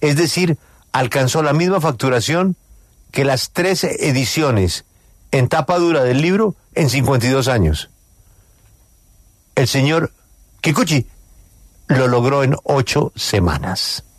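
A man's voice: mid-range voice, animated, measured pace, long pauses, no room sound, clean studio sound. A man hosting a live radio interview, speaking emphatically and repeating key phrases.

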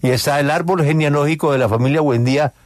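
A man's voice: fairly high pitch, quick pace, dry recording. A man hosting a live radio interview, speaking emphatically and repeating key phrases.

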